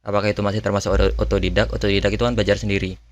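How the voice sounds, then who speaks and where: A man's voice: lowish voice, flat delivery, rapid-fire. A man in a casual Q&A chat, musing and thinking aloud in an informal way as host. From Indonesia. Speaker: language channel host answering viewer questions.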